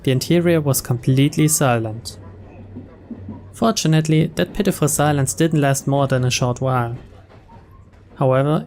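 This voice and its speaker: A man's voice: on the high side, darkish tone, a little hiss or hum. A man reading scripted story narration aloud from a visual novel as a let's player.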